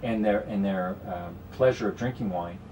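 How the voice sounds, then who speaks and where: A man's voice: on the low side, normal speed, darkish tone, recorded from a distance, slight background noise, rough recording. A man with a Canadian accent, a guest strategist explaining investments in a radio studio conversation, with many "you know"s. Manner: explanatory, talks in long runs with frequent "you know".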